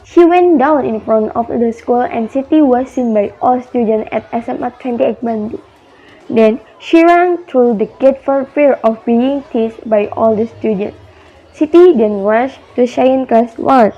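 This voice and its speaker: A woman's voice: fairly high pitch, measured pace, mellow. A young woman reading scripted narration for a student radio drama. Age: young adult. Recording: dull, noisy amateur recording.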